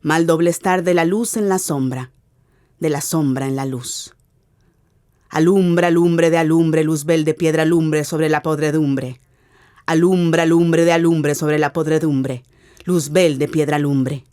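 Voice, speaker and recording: A woman giving a dramatic, deliberate literary narration for a radio adaptation. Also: low voice, steady tone, mellow, no echo, clean studio sound.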